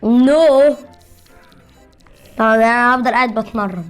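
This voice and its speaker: A woman's voice: on the high side, unhurried, smooth, soft background music. A woman with an Egyptian Arabic accent giving casual gameplay commentary, teasing and boasting as a channel host.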